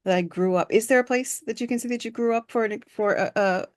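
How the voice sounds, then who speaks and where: A woman hosting an interview, speaking in an unhurried, affirming way with many fillers. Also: a little high. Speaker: artist host. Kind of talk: conversational interview.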